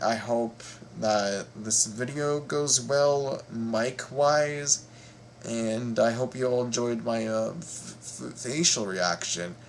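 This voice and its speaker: A man hosting a casual reaction video, talking in a halting, playful way and often repeating his words. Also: fairly low pitch, slight background noise.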